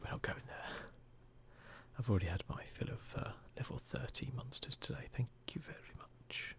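A man giving soft-spoken, often whispered ASMR commentary over a video game, with a dry, understated, mildly sweary manner. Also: medium pitch, moderate pace, vocal fry. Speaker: gaming video host.

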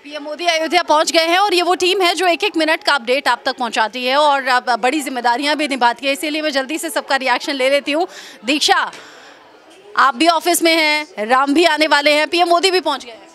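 A woman's voice: high-pitched, a little fast. A woman in her thirties reporting live in a newsroom, chatting with colleagues on a handheld mic. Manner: lively, chatty, upbeat questioning. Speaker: news reporter. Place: busy indoor newsroom.

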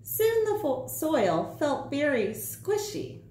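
A woman's voice: high-pitched, very animated, slow pace, warm tone, reverberant, slight background noise. A woman in her forties, a teacher, reading a children's picture book aloud in a small room.

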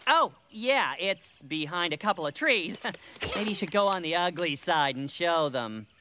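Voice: mid-range voice, lively intonation, unhurried, noisy background, lightly acted. A performer voicing a vain, scheming peacock in a cartoon, pompous and sly. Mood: sly evasion. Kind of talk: animated cartoon villain acting.

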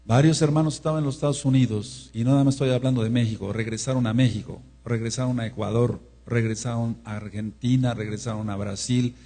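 Husky emphatic male preacher, humming recording. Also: mid-range voice. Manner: emphatic, rising to loud exclamations. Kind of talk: sermon. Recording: mains hum.

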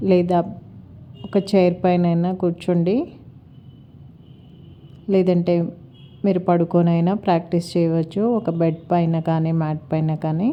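Calm low-pitched woman guiding meditation. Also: highs cut off, slight background noise. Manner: calm, soothing, measured with long pauses. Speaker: yoga and meditation teacher. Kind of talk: guided meditation instruction.

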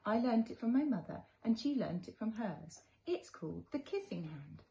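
A woman voicing a gentle, reassuring mother raccoon from a children's storybook, soothing and measured. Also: on the high side, sing-song, pitched above the performer's own voice.

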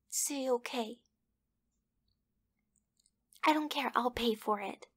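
A woman voicing a bratty, clingy, possessive young girlfriend in an ASMR roleplay, pouty and demanding, with a breathy, often whispery delivery. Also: a little high, exaggerated voice. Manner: pouty, demanding, halting, with sighs. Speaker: voice actress in a roleplay.

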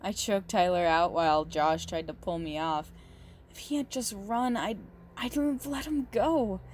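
A woman playing a big, muscular goth girlfriend, possessive and unhinged yet doting, in an intimate, halting ASMR roleplay monologue. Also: mid-range voice, a little hiss or hum, lightly acted. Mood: casually menacing. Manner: slow, halting, doting, menacing under sweetness.

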